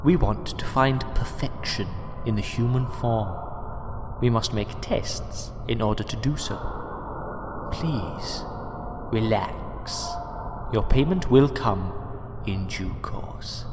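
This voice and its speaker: An actor playing a calm, sinister male doctor in an audio drama, polite, condescending and quietly menacing toward his captive. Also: medium pitch, slow pace, lightly acted. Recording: echoey reverberant room with clear noise.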